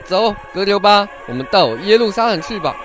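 A man's voice: sits high, lively intonation, a little fast, over music. An actor playing an eager, friendly village man and disciple in a theatrical radio Bible drama. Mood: eager, insistent.

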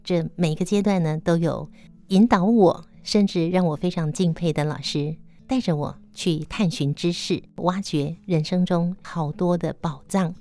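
A woman's voice: fairly low pitch, fairly even intonation, a little slow, mellow. A woman radio host with a Taiwanese Mandarin accent, interviewing attentively and echoing answers back.

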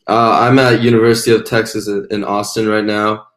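A young man from Taiwan, a podcast guest, telling his story in a relaxed, casual way with fillers. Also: fairly low pitch, brisk, reverberant. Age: young adult. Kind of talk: casual interview answers.

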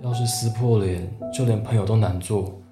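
Radio drama lead, man acting: forties, hesitant, wary secret lover, low register, echoey sound over guitar. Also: fairly even intonation, normal speed, close to an everyday voice. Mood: anxious, hesitant. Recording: roomy echo, guitar music behind.

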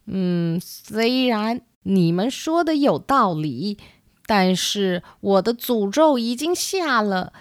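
A woman's voice: a little high, dry recording, heavily acted. Lady doing brooding witch in a kids radio play, takes her time, resigned and hurt, dry close sound. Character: brooding female dark witch, hurt but reasonable. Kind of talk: children's storybook radio play.

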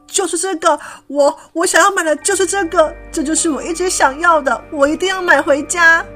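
A woman voicing an eager, wide-eyed young boy in a children's storybook, with an excitable, exclaiming manner. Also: very high-pitched, fairly quick, pitched above the performer's own voice. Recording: music behind the voice.

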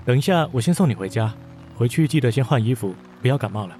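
An actor playing a reserved, considerate young company boss in a romantic radio drama, composed and understated. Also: male voice, medium pitch, lively intonation, fast, mellow, faint music behind, close to an everyday voice. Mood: gently considerate. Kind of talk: modern urban romantic radio drama acting. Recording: faint noise, rain ambience.

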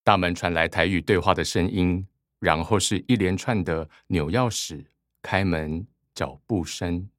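A man's voice: low-pitched, a little slow, dry recording, studio quality. A man reading scripted narration and stage directions for a radio drama.

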